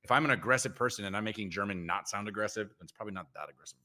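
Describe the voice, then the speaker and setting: A man's voice: on the low side, rapid-fire, pushed and bright. A man co-hosting a live trading stream, chatting casually and trash-talking viewers with blunt teasing. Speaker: co-host trader.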